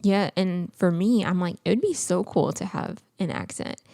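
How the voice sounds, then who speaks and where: A young woman co-hosts a podcast in a loose, chatty way and fills her talk with small hesitations. Her voice sits low and mellow and has a gravelly fry to it. The recording is dry and close. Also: normal speed, no echo. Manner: chatty, lots of filler words. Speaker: podcast co-host. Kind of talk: casual chat.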